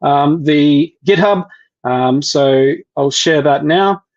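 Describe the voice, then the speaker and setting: A man walks viewers through a software demo in a live online session, stopping and restarting often with fillers. His voice sits a little high for a man. The audio is dull and lo-fi, like a call recording. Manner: halting, many fillers and restarts. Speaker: developer relations presenter.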